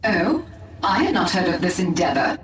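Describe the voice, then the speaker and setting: A woman's voice: on the low side, very animated, normal speed. A woman playing a haughty, warlike alien elf leader in a video game, imperious and formal. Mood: cool, questioning. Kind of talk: video game cutscene acting. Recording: echoing, distant voice under loud music.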